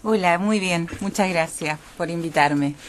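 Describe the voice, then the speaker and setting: A woman from Chile, a poet, answering interview questions in a calm, thoughtful way with some fillers. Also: on the low side, measured pace. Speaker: guest poet.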